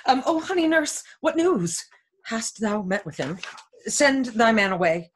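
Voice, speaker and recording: Woman reading an impatient lovesick young girl, high-voiced. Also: big pitch swings, unhurried, slight background noise. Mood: eager, anxious. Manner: dramatic, pleading, insistent. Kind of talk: reading a Shakespeare play aloud.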